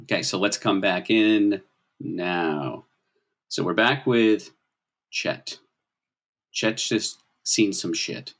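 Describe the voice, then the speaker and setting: A man's voice: low voice, slow pace, pauses often, fairly bright tone. A man working as game master, narrating a tabletop role-playing game conversationally and prodding players with questions.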